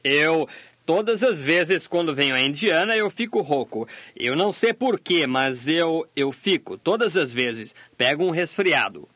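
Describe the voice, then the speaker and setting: A man voicing a sermon translation, delivering it steadily and measured. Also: fairly high pitch, expressive, sharp tone, dry recording. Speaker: sermon interpreter voice-over. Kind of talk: translated sermon read aloud.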